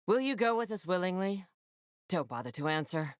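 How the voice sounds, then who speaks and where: Woman playing a low-voiced, tough military officer. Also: lively intonation, moderate pace, no room sound, clean studio sound, close to an everyday voice. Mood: cold, threatening. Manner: clipped, authoritative, no-nonsense. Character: tough, commanding female military officer, curt and foul-mouthed. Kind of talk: video game voice acting.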